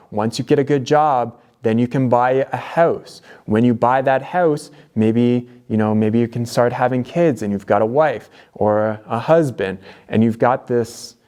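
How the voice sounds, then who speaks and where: A man's voice: mid-range voice, a little mellow. A young Canadian man with a Canadian accent, talking earnestly to camera in a conversational motivational vlog, with plenty of fillers and restarts. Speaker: DIY vlogger.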